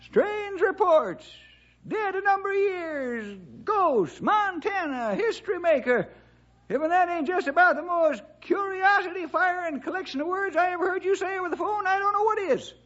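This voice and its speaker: An actor playing a feisty, folksy old-timer sidekick in a radio drama, with a strained, excitable voice. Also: male voice, extremely high pitch, moderate pace. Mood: curious, excited. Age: older. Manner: excitable, folksy, rustic grammar. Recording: dull, band-limited old radio recording.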